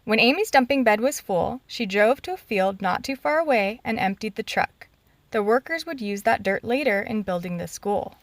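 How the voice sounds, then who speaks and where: Woman storyteller with a higher-pitched voice. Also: expressive, moderate pace. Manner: encouraging, clearly addressing small children. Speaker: children's read-aloud storyteller. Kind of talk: animated storybook narration for young children.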